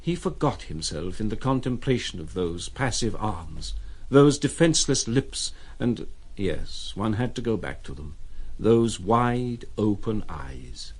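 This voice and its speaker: An actor as a reflective narrator of a literary audio drama, measured and expressive. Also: male voice, lowish voice, breathy edge. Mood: wistful.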